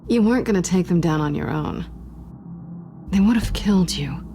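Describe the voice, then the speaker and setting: A guarded young woman thief in a story-driven video game. Her voice sits on the low side, intimate and sardonic, with a teasing dry wit. There is clear noise and ambience behind her. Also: expressive, moderate pace, dark tone. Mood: teasing concern. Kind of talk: naturalistic video game acting.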